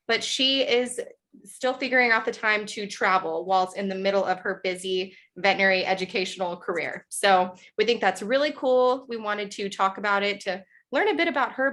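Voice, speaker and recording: A woman's voice: mid-range voice, fairly bright tone. A woman co-hosting a podcast, asking questions and affirming her guest enthusiastically. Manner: enthusiastic, quick to affirm with yeah and I love it. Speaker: podcast co-host. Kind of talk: casual interview chat.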